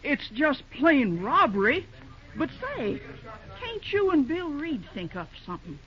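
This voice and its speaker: An actress playing an excitable, motherly older small-town woman with a rural American accent and folksy dialect, in old-time radio drama style. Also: high-pitched, a little slow. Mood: indignant. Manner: exclamatory, indignant, motherly. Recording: dull, noisy vintage radio recording.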